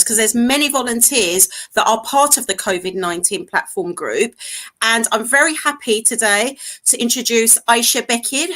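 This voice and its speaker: Woman host, bright voice, fairly high pitch, chatty, enthusiastic. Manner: chatty, enthusiastic, laughs often. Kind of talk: online talk show hosting.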